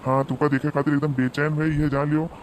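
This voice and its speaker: A man's voice: a little high, level tone, fast talker, slight background noise, close to an everyday voice, poor audio. A man voicing a casual, excuse-making young village lover in a cartoon comedy, placating in an Awadhi accent.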